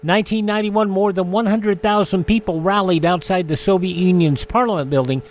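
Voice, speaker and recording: A man's voice: sits high, fairly quick. A man hosting a radio show, reading scripted items about dates in history. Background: music.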